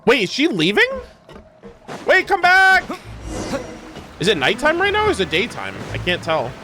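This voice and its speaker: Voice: mid-range voice, wildly swooping pitch, moderate pace, music in the background. A game streamer reacting casually to a story as it plays, exclaiming in slang and swearing freely.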